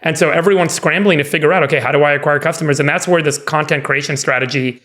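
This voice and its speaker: A man's voice: a little high, steady tone, quick pace, a bit bright. Earnest, upbeat male podcast co-host. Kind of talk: casual banter.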